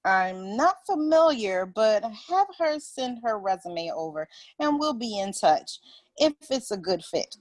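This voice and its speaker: A woman's voice: medium pitch, very animated, measured pace, dry recording. A woman playing a cool, polite businesswoman in her forties in a script table read. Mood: polite, reserved.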